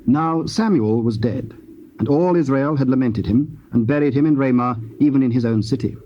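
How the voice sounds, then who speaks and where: A man narrating scripture from a script in a solemn, measured, formal manner. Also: medium pitch. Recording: dull, faintly noisy old cassette.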